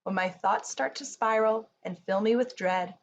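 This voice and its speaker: A woman reading a rhyming children's book aloud in a measured, soothing storybook delivery. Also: medium pitch. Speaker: picture book reader. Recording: muffled, faint noise.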